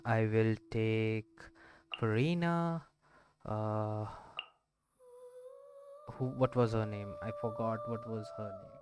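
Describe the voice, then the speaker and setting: A man giving casual gameplay commentary as a streamer, thinking aloud in a rambling, hesitant way. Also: on the low side. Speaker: gaming streamer. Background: loud game music.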